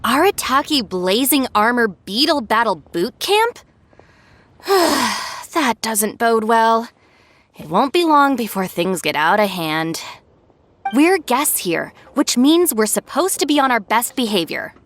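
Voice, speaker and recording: Cautious, weary-minded young woman, exasperated, slightly high, animated, game dialogue, faintly noisy. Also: slow, heavily acted. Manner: sighing, cautious, orderly. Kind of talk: animated video game voice acting.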